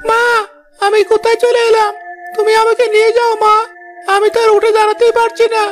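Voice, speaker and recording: A woman's voice: very high-pitched, little pitch movement, fairly quick. A woman voicing a small, naive young flying horse in a plaintive, childlike way for a fairy-tale cartoon. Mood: frightened, pleading.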